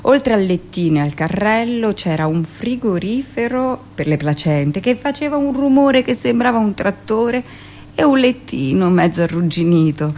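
Radio monologue: woman as hardened midwife, medium-pitched, matter-of-fact storyteller. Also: lively intonation, moderate pace, darkish tone, slight background noise, close to an everyday voice. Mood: matter-of-fact recollection. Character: midwife recounting harsh hospital deliveries, matter-of-fact, hardened. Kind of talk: theatrical radio monologue, narrated recollection.

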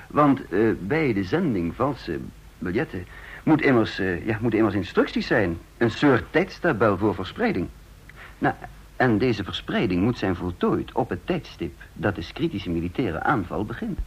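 An actor in a Dutch radio play voicing a methodical, brisk counterintelligence chief who explains deliberately, with small hesitations. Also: male voice, on the low side, very animated, slightly rough, exaggerated voice. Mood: earnest, deliberate. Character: brisk, methodical male head of a counterintelligence service. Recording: muffled, dull radio play recording.

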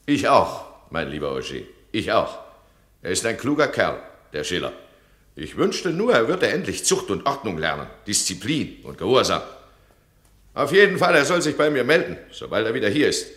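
A domineering duke in an old radio play, bright and forceful, his voice climbing and falling with temper as he scolds a subordinate. The room around him rings with a roomy echo. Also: on the low side, very animated, normal speed. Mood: stern. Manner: commanding and scornful, swings from mocking to furious. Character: imperious, hot-tempered male duke, absolute ruler. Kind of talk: radio drama acting.

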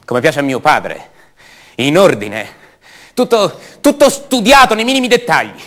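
An actor playing an uneasy, brooding, paranoid man in a halting, word-repeating radio drama monologue. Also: on the high side, big pitch swings, sharp tone, exaggerated voice. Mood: agitated, defiant. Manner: halting, stammering, repeats words. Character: uneasy, brooding grown son, increasingly paranoid and agitated. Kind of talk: radio drama monologue, naturalistic theatrical acting.

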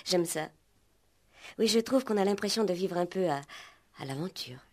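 Young female witness, fairly low voice, composed, guarded, polite measured answers, softly insistent, vintage radio drama. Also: animated. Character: composed, guarded young female hitchhiker under police questioning. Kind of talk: 1960s French radio play acting.

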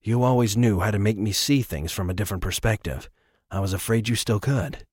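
Man reading as a soft-spoken weary man. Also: lowish voice, fairly quick, slightly rough, no echo, studio quality, voice pushed down. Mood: tender.

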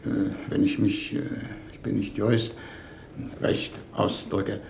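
A man's voice: fairly low pitch, flat delivery, slow pace, creaky, a little mellow. An actor playing a formal, ponderous, idealistic foreign minister in a 1950s German radio play, hesitant in his speechmaking. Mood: hesitant, official. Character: deliberate, idealistic male foreign minister leading a diplomatic mission. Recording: roomy 1950s radio recording, background hiss.

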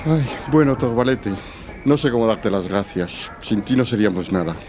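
A man's voice: fairly low pitch, animated, normal speed, a crowd in the background, natural voice. A man acting a sentimental, hesitant travelling companion in an audio drama. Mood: touched. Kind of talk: Spanish audio fiction acting.

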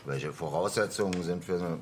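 Actor as deep-voiced, sceptical conservative politician. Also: male voice, normal speed, a little hiss or hum, close to an everyday voice. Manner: formal, pointed, rhetorical. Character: conservative male politician, stern, sceptical, needling. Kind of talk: parliamentary debate in radio drama.

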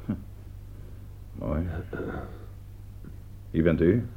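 A man's voice: extremely low pitch, lively intonation, drawn-out words, full character voice. A man playing a sardonic, probing police detective in a radio drama, questioning in a dry, insinuating, hesitant way. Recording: muffled, noisy old radio play recording.

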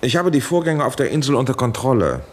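An actor playing an anxious, authoritative professor in a 1970s German radio drama, urging and imploring in formal speech. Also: male voice, medium pitch, sing-song, fast talker. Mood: evasive explaining. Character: anxious authoritative male professor pleading urgently by radio link.

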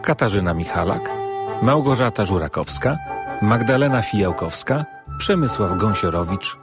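A man's voice: on the low side, lively intonation, normal speed. A male announcer reading the credits of a radio play in a formal scripted delivery. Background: loud music.

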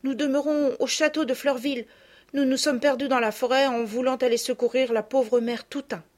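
A woman voicing an earnest, well-born young girl in an audiobook, with a high, pleading manner. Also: steady tone, normal speed, a little mellow, close to an everyday voice.

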